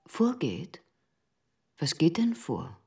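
Shopkeeper's wife, radio drama: low, heavily husky voice, guarded and terse, gives nothing away. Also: female voice, takes it slowly, heavily acted. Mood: guarded, indifferent. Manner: flat, terse, withholding. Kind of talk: radio crime drama acting.

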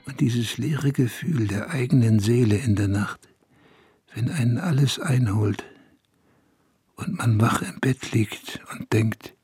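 An actor playing a wistful, bitter man in a first-person radio drama, speaking in a measured, intimate way. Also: medium pitch, a little mellow, soft background music. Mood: bitter, intense. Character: wistful man recalling a lost idol, brooding and bitter.

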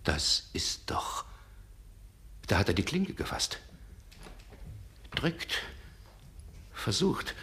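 An actor playing a brooding, suspicious gentleman in a 1960s German radio drama, sardonic and agitated in manner. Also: lowish voice, huge pitch swings, very slow, long pauses, whispered, full character voice. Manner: agitated, sardonic, muttering to himself. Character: brooding, suspicious gentleman confronting a nocturnal visitor.

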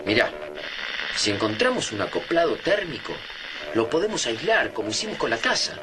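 An actor plays a wary, wry older man with an Argentine accent and very animated intonation. He is an emphatic, argumentative survivor in a radio play, with clear noise around him. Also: medium pitch, moderate pace, lightly acted. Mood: practical. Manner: emphatic, sceptical, colloquial. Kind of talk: radio drama acting.

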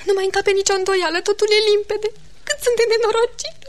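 An actress playing a young, lovelorn and jealous signorina in a theatrical radio comedy style. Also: female voice, very high voice, fairly even intonation. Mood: distraught, jealous. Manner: exclamatory, theatrical.

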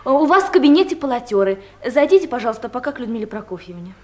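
A high-voiced, pert secretary woman. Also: big pitch swings, quick pace, a little mellow. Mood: brisk, arch. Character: brisk, pert young female secretary. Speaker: actor in an audio play. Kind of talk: radio comedy drama acting.

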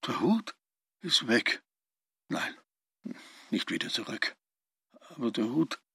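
Elderly barman: husky, swooping, fretful muttering in fragments, radio play. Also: medium pitch, big pitch swings, slow, a bit bright, dry recording, heavily acted. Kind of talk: radio drama acting.

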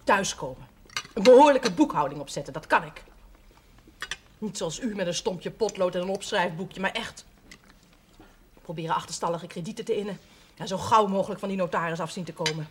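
Actress as determined young daughter, slightly noisy recording. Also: female voice, on the low side, a little fast, pauses often, darkish tone, lightly acted. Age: young adult. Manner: earnest, firm, direct. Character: determined, practical young daughter standing up to father. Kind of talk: television period drama acting. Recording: slightly noisy old television soundtrack.

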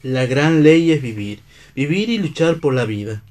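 An actor playing an earnest idealistic hero in a radio play, solemn and declamatory. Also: male voice, medium pitch, lively intonation, moderate pace, darkish tone, lightly acted. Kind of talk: theatrical radio play reading.

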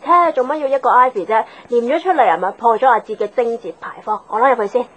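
An actress playing a nosy, catty young office gossip in a radio drama, snappy in manner. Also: female voice, on the high side, fast talker, fairly bright tone. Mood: catty, indignant. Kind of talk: Cantonese radio drama dialogue. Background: background music.

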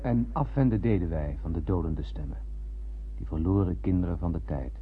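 A man's voice: low-pitched, normal speed, natural voice. An actor playing a spaceman who tells of his voyage in a poetic, storytelling way in a radio play. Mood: wondering narration. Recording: dull, noisy radio play recording.

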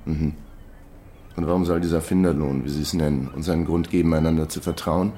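Deep-voiced, wary shady businessman. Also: fairly even intonation, warm tone, a little hiss or hum. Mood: distrustful. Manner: dry, skeptical, deliberate. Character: wary, wry male shady company boss. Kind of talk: German radio crime drama acting.

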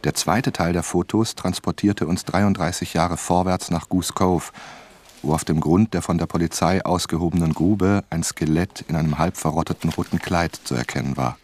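An actor playing a sober novelist who narrates a crime radio play in scripted prose. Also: male voice, sits low, steady tone, normal speed, a little mellow. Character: sober male novelist recounting a murder case.

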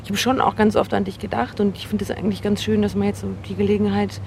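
A woman's voice: medium pitch, level tone, quick pace, creaky, natural voice. An actress playing an earnest, probing woman in a naturalistic radio drama, speaking conversationally and a little hesitantly. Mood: earnest, tentative. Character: earnest woman gauging her worth, awkward, probing. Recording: noisy location recording with ambient hum.

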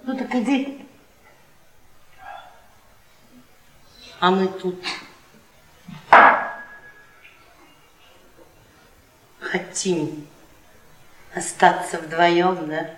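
An actress playing an embittered, seductive middle-aged professor's wife, mocking and domineering in a theatrical radio-play manner. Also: female voice, fairly low pitch, animated, slow. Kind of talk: Soviet radio theatre acting. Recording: roomy echo, off the mic.

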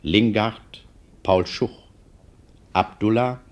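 Low, measured male radio announcer. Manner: formal, measured. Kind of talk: formal mid-century radio announcement, reading credits.

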